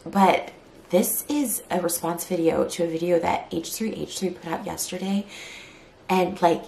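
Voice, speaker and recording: Tired, hurt woman vlogging, gravelly voice. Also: lowish voice, mellow, reverberant, slight background noise. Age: 30s. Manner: tired, hurt and fast-talking, with many "like" fillers. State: short on sleep, near tears later. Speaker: YouTuber. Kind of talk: emotional response vlog.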